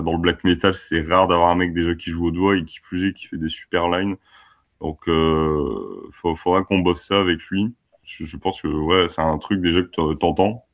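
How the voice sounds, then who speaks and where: A man's voice: sits low, a bit bright. A man in his twenties from France, a band member chatting casually and laughing easily in an interview. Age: 20s. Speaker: band musician.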